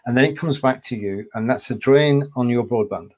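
A man's voice: medium pitch, fairly quick, darkish tone, highs cut off. An older man with a Lancashire-Cumbrian hybrid accent, co-hosting a casual video call and explaining things methodically. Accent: Lancashire and Cumbrian hybrid English. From England. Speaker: co-host. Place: home room with pictures on the wall. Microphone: webcam or desk mic on a video call.

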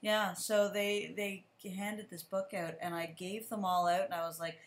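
A woman teacher hosting a casual chat with a guest over a video call, relaxed and chatty. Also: medium pitch, moderate pace. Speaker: teacher and show host. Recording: echoey room.

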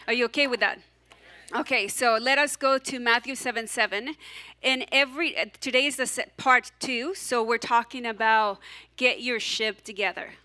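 A woman pastor with a noticeable accent delivers a sermon with lots of energy and warmth. Her voice sits a little high and rings bright and clear. She keeps the congregation involved, asking them to say lines back to her. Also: normal speed. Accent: non-native English. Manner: animated, encouraging, audience call-and-response. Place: church stage, large hall.

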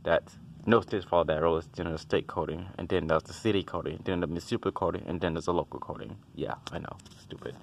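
Deep-voiced man rambling through an inspection. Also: fairly even intonation, fast talker, creaky, slight background noise. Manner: rambling, offhand, dismissive asides. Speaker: house inspector and HVAC tech. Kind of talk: casual walkthrough tutorial. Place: outdoors beside a house, then a basement utility room. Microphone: handheld phone camera.

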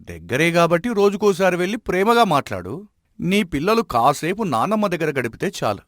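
Wise village elder for a moral cartoon, played by a man: slightly high, very animated pitch, measured counsel, dry studio sound. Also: no echo. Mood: calm advising. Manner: measured, advising, admonishing. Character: wise, respected village elder who settles disputes. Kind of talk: animated moral story acting.

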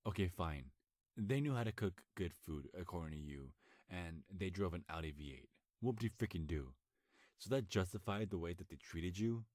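A young man playing a nervous, lovestruck best friend in an intimate ASMR roleplay, speaking earnestly with a halting stammer. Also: low voice, lively intonation, darkish tone, dry recording, clean studio sound. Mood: earnest, indignant.

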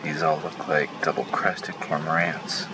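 A man with a very low voice calls out birds one after another in short, easy remarks. He is recording outdoors on a rainy coast, and heavy rain and wind noise sit loudly under his words. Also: flat delivery, unhurried. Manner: calling out bird names in short remarks. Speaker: birding vlogger. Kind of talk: casual on-location narration.

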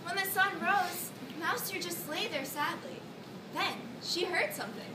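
A woman's voice: very high voice, measured pace, a crowd in the background. A young woman narrating a children's story on stage in an emphatic, theatrical way. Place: classroom, recorded by a far camera. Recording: echoey classroom from far off, noisy.

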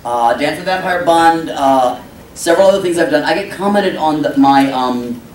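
A man's voice: on the high side, normal speed. A male voice actor on a convention panel, telling comedic stories and acting out the dialogue. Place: convention panel room with audience. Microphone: table microphone, recorded by far camera. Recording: roomy hall, distant mic, noisy crowd.

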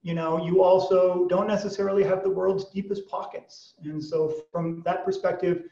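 An American man in his fifties talks as a podcast guest in a fairly high voice. He restarts often and wanders into asides. He is on a video call from a small room, echoey and slightly dull in sound. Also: flat delivery, normal speed, vocal fry, dark tone. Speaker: auction executive, podcast guest. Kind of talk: conversational interview answers. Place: small plain room indoors. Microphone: computer mic on video call. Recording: boxy, echoey video call, distant mic.

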